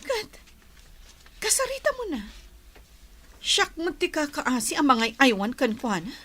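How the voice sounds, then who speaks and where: A woman's voice: high voice, very animated, unhurried, bright, forceful tone, full character voice. A woman playing a suspicious, grasping wife in a radio drama, pressing with questions in an Ilocano accent. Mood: demanding.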